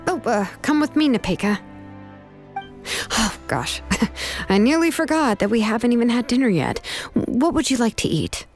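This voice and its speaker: A woman's voice: on the high side, expressive, close to an everyday voice. Woman acting a laid-back, caring young craftswoman over music. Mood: casual, warm. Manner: easygoing, reassuring, unhurried. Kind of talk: video game voice acting.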